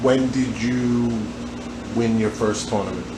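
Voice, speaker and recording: A man's voice: medium pitch, slow pace. A man interviewing a guest, asking short, laid-back questions and adding brief backchannels. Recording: roomy, noisy room.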